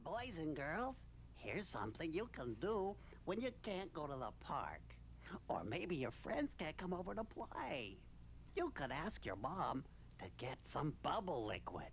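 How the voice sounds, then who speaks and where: Low-voiced children's puppet. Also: female voice, big pitch swings, unhurried, slight background noise, heavily acted. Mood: instructive. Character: children's show puppet addressing boys and girls. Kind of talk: children's television presenting.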